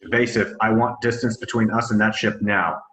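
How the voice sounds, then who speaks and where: A man's voice: on the low side, fairly even intonation, normal speed, a bit bright, echoey room, natural voice, rough recording. A man reading the part of a commanding starship captain, giving clipped orders. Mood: urgent. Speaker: actor in a table read.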